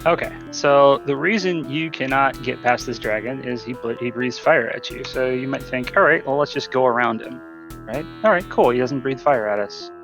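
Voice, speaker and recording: Man doing live gameplay commentary, slightly creaky. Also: mid-range voice, normal speed, over music. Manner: informal, talking to the game while playing. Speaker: speedrunner.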